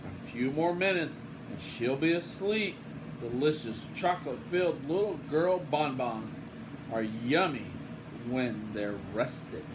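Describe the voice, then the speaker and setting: A man's voice: medium pitch, measured pace, close to an everyday voice. An older man voicing a scheming, hungry dinosaur in a storybook, sly and savoring each phrase. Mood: gloating. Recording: home recording with steady room hum.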